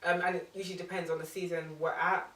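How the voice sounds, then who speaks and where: A young woman in her twenties talks quickly, with a slightly low voice and lots of "um" and "like." She sits some distance from the mic in an echoey room. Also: little pitch movement, fairly bright tone. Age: 20s. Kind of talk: casual panel chat.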